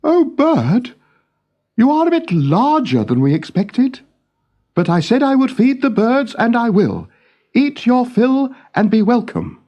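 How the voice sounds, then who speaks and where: Man as a high-voiced, earnest little king. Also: huge pitch swings, measured pace, lo-fi sound, full character voice. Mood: startled, welcoming. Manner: earnest, exclamatory, plain-spoken. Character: kind-hearted, earnest little Norse king. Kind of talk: storybook character voice.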